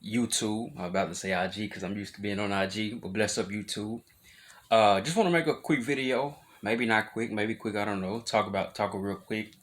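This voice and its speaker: An adult man, talking casually to his viewers in a loose, rambling way, full of fillers and restarts, rising in volume when he drives a point home. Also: moderate pace. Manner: grows louder and higher when making a point. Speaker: vlogger. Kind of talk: casual talk to camera.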